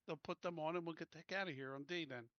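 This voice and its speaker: A man's voice: on the high side, fast, fairly bright tone, dry recording, dull audio. A man playing a tabletop roleplaying game, chatting casually in a blunt, informal way.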